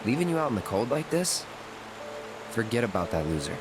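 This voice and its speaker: A man's voice: mid-range voice, very animated, a little fast, over music, lightly acted, poor audio. A man voicing an aloof, blunt young mercenary in a video game, speaking in a curt, guarded way. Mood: blunt, scornful. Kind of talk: English-language video game voice acting.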